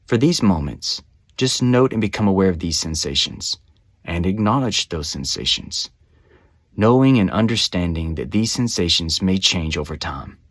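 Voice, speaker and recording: A man narrating a scripted guided meditation as a guide, with measured, soothing, instructional phrasing. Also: on the low side, no room sound, studio quality.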